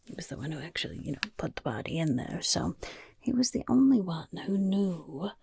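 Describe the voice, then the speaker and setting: Lady doing a sly greedy goblin girl for asmr roleplay, lowish breathy whisper, super close and dry, coy and rambly. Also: very animated, warm tone, no echo, exaggerated voice. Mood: conspiratorial. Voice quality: breathy, husky, crackly whisper. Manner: coy, unhurried, rambling asides. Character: sly, chatty, gold-loving female goblin rogue. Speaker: roleplay quest-giver for a rogues' league.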